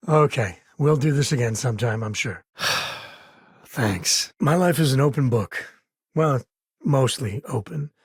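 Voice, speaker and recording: Casting: an easygoing space cowboy in a video game, husky voice, wry folksy delivery, dry close recording. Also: male voice, medium pitch, no room sound. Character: easygoing wisecracking space explorer cowboy, adult man, devoted and sincere. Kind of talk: naturalistic video game dialogue acting.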